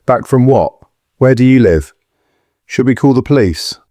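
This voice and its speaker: A man's voice: mid-range voice, animated, measured pace, dark tone, no echo, studio quality, lightly acted. An actor playing an ordinary suburban man, shaken and concerned, asking disbelieving questions in an audio drama. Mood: steadying himself.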